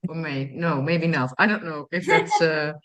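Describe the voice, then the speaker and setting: An adult podcast host, asking questions in a halting, hesitant way during a casual interview. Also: medium pitch, expressive, a bit bright.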